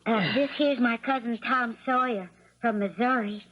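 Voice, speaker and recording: A woman's voice: a little high, normal speed, close to an everyday voice. An actress playing an anxious, devoted young backwoods Southern girl with a plaintive rustic drawl, in 1970s radio drama style. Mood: hesitant, neighborly. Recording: dull, muffled 1970s radio broadcast.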